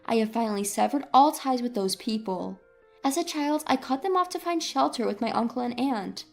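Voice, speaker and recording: A woman's voice: fairly high pitch, lively intonation, normal speed, gravelly, darkish tone, faint music behind. A woman reading a scripted first-person story as a dramatic narrator.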